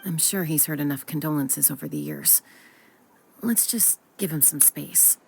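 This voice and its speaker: A woman's voice: deep voice, huge pitch swings, moderate pace, creaky, darkish tone, slight background noise, exaggerated voice. An actress playing a cool-headed, pragmatic female warrior in a video game, terse and matter-of-fact. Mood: cool, restrained.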